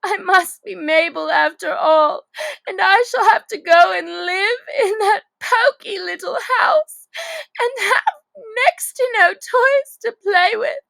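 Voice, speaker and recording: A woman's voice: very high-pitched, creaky, a bit bright, no room sound, voice pushed up, full character voice. A woman voicing a distressed young girl in a children's storybook, with an exaggerated, plaintive delivery. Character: Alice, distressed young girl, dramatic and tearful. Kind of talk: children's storybook character acting.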